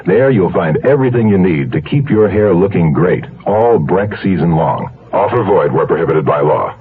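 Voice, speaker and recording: A muffled old radio spot with a male announcer. His voice is low and swings widely in pitch as he delivers a scripted ad pitch. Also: lively intonation, moderate pace, husky. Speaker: commercial announcer.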